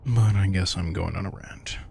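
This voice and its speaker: Low, gravelly vampire king played by a man. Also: moderate pace, darkish tone, slight background noise. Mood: opinionated, wry. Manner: casual, reflective, swearing, addresses listener directly. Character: centuries-old vampire king, wry, protective, fond mentor. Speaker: audio roleplay performer. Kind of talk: intimate scripted audio roleplay, conversational monologue.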